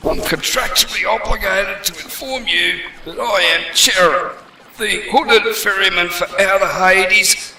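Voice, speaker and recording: A cranky hooded ferryman of the dead, high, gravelly and piercingly sharp, snapping at his passengers. He sounds far off in an echoey space. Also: slight background noise, heavily acted. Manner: gruff, shouty, irritable. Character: grumpy male hooded ferryman of the dead, wheeler-dealer.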